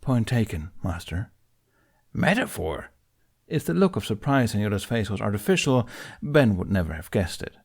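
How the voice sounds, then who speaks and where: A man narrating fan fiction in a gentle, even storytelling manner. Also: on the low side, a little fast.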